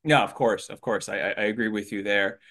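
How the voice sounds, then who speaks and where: A middle-aged man hosting an interview show, asking questions in a relaxed, rambling way with hesitations. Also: lowish voice, a little fast. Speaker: show host. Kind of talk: conversational interview.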